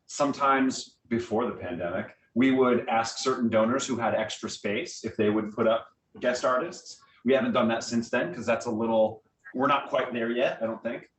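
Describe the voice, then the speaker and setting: A man's voice: medium pitch, moderate pace. A man speaking as a guest theater director, explaining things informally and answering audience questions in a conversational, filler-dotted way. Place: meeting room with an audience, also on Zoom. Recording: echoey room, distant microphone.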